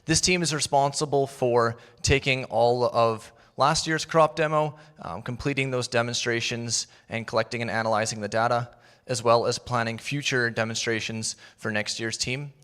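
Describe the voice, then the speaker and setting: Young male student presenter, halting, mid pitch, hall reverb. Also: fairly bright tone, clean tone. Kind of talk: class presentation.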